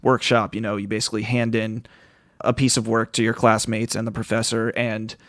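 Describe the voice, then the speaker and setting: A man hosting a podcast, asking questions in an informal, halting way with repeated starts. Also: lowish voice, little pitch movement, fairly bright tone, no room sound, studio quality. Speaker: podcast host. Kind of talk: casual interview chat.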